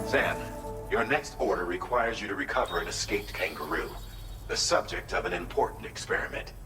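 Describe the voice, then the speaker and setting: A man playing a brisk, businesslike mission controller briefing an operative in a video game. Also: low-pitched, sharp tone, reverberant, poor audio.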